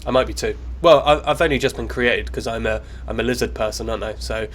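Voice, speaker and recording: A man's voice: mid-range voice, quick pace, noisy background. A man in his mid twenties with a British accent, bantering and joking on a casual livestream. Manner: joking, sweary. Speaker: co-host of a lucid dreaming stream.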